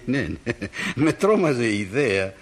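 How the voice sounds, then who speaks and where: A man playing a genial, sentimental middle-aged father in a radio play, in an expressive, reminiscing way. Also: mid-range voice, husky. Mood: amused.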